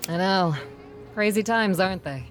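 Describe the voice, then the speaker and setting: A woman's voice: medium pitch, normal speed, faint music behind, natural voice. An actress as a folksy, spirited young rebel woman. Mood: amused, friendly. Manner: folksy, plain-spoken, earnest. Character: spirited young rebel schoolteacher turned frontline quartermaster. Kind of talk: video game character dialogue.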